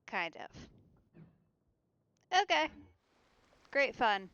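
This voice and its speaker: Slow, clear-voiced woman streamer. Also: a little high, big pitch swings, a bit bright, muffled recording. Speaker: game streamer. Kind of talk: casual let's play commentary.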